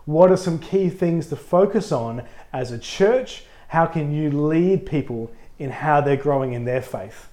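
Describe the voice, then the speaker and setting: A man who is a pastor, co-hosting a casual podcast, a little husky from a cold, upbeat and chatty. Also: fairly high pitch, unhurried, few pauses, warm tone. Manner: upbeat, chatty, laughs easily.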